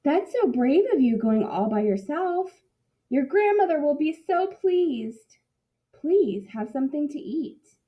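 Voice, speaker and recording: A kind woodland animal from a storybook, as a woman reads it aloud. The high voice is welcoming and encouraging. The recording sounds a little dull. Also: very animated, slow pace, heavily acted. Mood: kind, interested. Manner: gentle, hospitable, encouraging.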